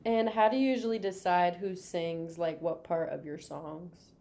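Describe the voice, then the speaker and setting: Woman podcast host, mellow low-ish voice, faint noise behind. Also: fairly even intonation, moderate pace. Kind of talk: asking interview questions.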